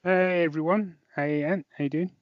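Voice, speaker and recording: A man's voice: on the high side, steady tone, normal speed, no echo. A man co-hosting a review podcast in a casual chatting style. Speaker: podcast co-host. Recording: dull, dry podcast audio.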